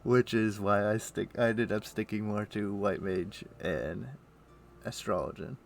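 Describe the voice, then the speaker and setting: A man's voice: lowish voice, fairly even intonation, unhurried, creaky, slight background noise. A man streaming a game, chatting casually and thinking aloud in an easygoing, musing way. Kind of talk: casual chat while playing an online game.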